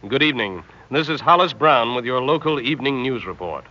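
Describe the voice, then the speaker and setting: An actor playing a formal local radio newscaster, reading bulletins in a 1960s style. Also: male voice, medium pitch, expressive, a little fast, bright, forceful tone. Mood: formal, urgent. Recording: muffled vintage radio broadcast.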